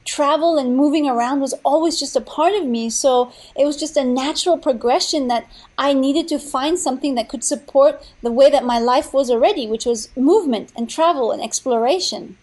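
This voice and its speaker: A young woman guest, born in Thailand and raised in India and Nepal, speaks in a high voice. She chats from her home in a bubbly, rambling way, full of restarts and fillers. She sounds excited about her topic throughout. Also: moderate pace, few pauses. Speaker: podcast guest, entrepreneur. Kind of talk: casual interview.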